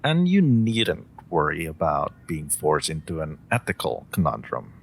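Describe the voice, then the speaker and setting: A man's voice: wildly swooping pitch, measured pace, creaky, full character voice. A man playing a polite, theatrical supervillain in a scripted audio roleplay, speaking courteously with hesitant asides and faint menace. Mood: businesslike, confiding. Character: polite, theatrical male supervillain interviewing a job applicant. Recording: faint background ambience and rumble.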